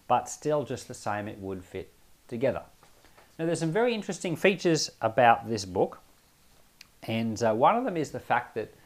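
A man giving conversational Bible commentary as a pastor, explaining things emphatically with asides to the listener. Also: mid-range voice.

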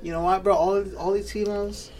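A man's voice: sits high, little pitch movement, a little fast, crowd noise, rough recording. A man co-hosting a podcast, chatting casually, laid-back and profane, saying "bro" constantly. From New Mexico. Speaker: podcast co-host. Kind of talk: casual chat.

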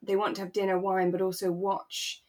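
A woman's voice: medium pitch, completely flat delivery, moderate pace, darkish tone. A British woman co-hosting a podcast in casual conversation, chatty and given to questioning her own words. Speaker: podcast co-host. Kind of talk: casual chat about a radio drama.

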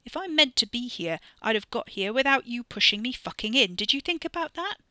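A woman's voice: mid-range voice, brisk, pushed and bright, dry recording, studio quality, natural voice. A woman voicing a tough, sardonic young British man with a blunt, sweary manner. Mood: mocking.

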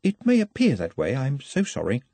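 A man's voice: mid-range voice, wildly swooping pitch, normal speed, mellow, slightly rough, dry recording, pitched above the performer's own voice, heavily acted. A man voicing an earnest, learned male servant in an audiobook, nervous and deferential, with precise, formal speech. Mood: earnest, apologetic.

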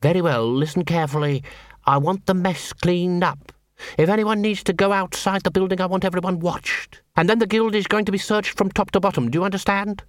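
A man voicing a cold, curt male head of a guild of assassins, snapping orders in a slow, raised voice. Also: sits high, fairly even intonation, no room sound, studio quality, voice pushed up, natural voice. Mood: commanding. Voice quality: sharp, curt, commanding.

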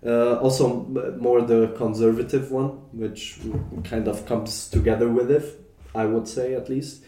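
A man's voice: mid-range voice, flat delivery, a little slow, warm tone. A young man from Germany in casual podcast chat, talking with many fillers and restarts. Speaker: podcast guest. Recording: roomy echo.

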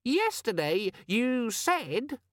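A tiny, sulky gadget demon, with an extremely high, squeaky voice that swoops about. It nags and needles with indignant sarcasm, as in a lively storybook reading. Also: male voice, extremely slow, dry recording, studio quality, full character voice. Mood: indignant, sarcastic. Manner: indignant, needling, falsely cheerful.

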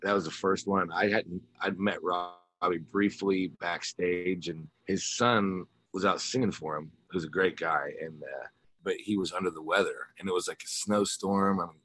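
A man's voice: deep voice, moderate pace, fairly bright tone. A man being interviewed as a musician, chatting informally and telling rambling anecdotes. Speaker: musician interview guest, rock singer and guitarist. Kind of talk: casual chat, telling anecdotes.

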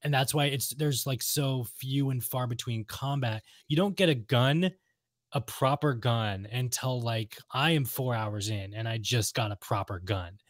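A man's voice: mid-range voice, measured pace, no room sound, clean studio sound. A man co-hosting a casual gaming chat, talking emphatically and repeating his points with firm opinions. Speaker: podcast co-host. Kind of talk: casual chat about video games.

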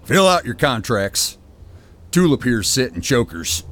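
A man playing a gruff, bullying 1890s logging camp boss, barking folksy insults and orders in an audio drama. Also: mid-range voice, very animated, a little slow, fairly bright tone. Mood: gruff, commanding. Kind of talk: dramatic acting in an audio storyboard.

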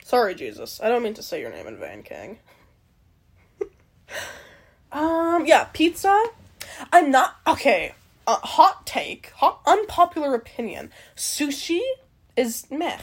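A woman's voice: high voice, huge pitch swings, slow, long pauses, husky. A young woman from Canada hosting a podcast alone, rambling casually with many fillers and some swearing. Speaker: podcast host. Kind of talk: casual solo chat.

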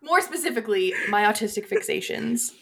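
A woman's voice: a little high, animated, fairly quick, gravelly, a bit bright. A woman co-hosting a podcast in casual chat, talking in a rambling, self-aware way that slips easily into tangents. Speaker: podcast co-host. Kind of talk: casual chat about horror movies.

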